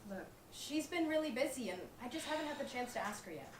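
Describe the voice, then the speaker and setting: A young woman playing a sensible but sassy intern roommate in a comedic play, casual and expressive. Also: high-pitched, quick pace. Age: young adult. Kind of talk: comedic stage acting. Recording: echoey room, distant mic, noisy background.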